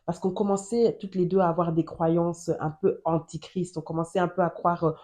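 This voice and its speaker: Earnest woman sharing faith, mellow low voice. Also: steady tone, moderate pace. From Germany. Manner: earnest, repeats phrases for emphasis. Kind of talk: personal testimony and Bible teaching.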